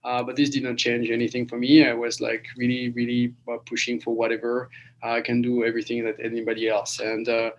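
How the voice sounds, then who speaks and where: A man's voice: medium pitch, monotone, brisk, lo-fi sound. A man from France, giving a webinar lecture over a video call, with many fillers and restarts. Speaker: guest lecturer.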